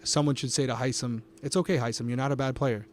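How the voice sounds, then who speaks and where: Quick, upbeat male co-host, close on his podcast mic, talking to the chat. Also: mid-range voice, fairly even intonation, a little hiss or hum. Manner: upbeat, talks to the live chat. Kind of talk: casual live watch-along commentary. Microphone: podcast microphone.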